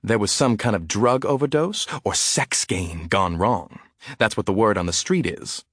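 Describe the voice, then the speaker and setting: Audiobook line: gossiping woman in her seventies, hushed, low voice as a man reads her. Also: measured pace, no echo, clean studio sound. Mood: gossipy. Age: 70s. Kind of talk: audiobook character voice.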